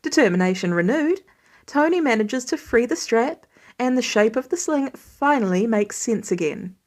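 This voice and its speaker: A woman's voice: on the high side, lively intonation, moderate pace. A woman reading a scripted piece of fan fiction as narration. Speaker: fan fiction podfic reader.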